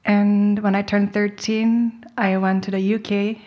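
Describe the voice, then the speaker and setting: Woman in her late 20s, talks softly with lots of ums, laughs shyly. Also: mid-range voice, little pitch movement, measured pace, lo-fi sound. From Korea. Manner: soft-spoken, hesitant, many ums, laughs shyly. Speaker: guest cellist. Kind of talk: radio interview answers. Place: radio performance hall studio.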